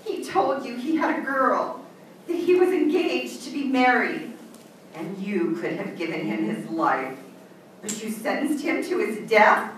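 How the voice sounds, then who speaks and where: A woman playing a cold, vengeful schemer in an old-time radio melodrama, scornful and deliberate. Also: a little high, very animated. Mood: bitter, vengeful. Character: cold, vengeful woman who double-crosses a judge. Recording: echoey, distant stage recording, faint noise.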